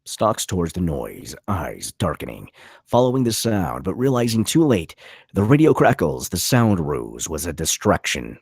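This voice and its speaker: A man's voice: low voice, wildly swooping pitch, moderate pace, vocal fry, breathy edge, no room sound. A man narrating stage directions at a script table read, with vivid, punchy dramatic delivery. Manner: vivid, punchy reading of action.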